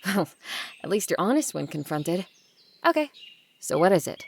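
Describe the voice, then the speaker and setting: A woman's voice: lowish voice, sing-song, normal speed, gravelly, a little hiss or hum. A woman playing a guarded, blunt adult daughter of a wealthy family in an audio drama, with a dry, curt way of talking. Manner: dry, curt, bossy with siblings.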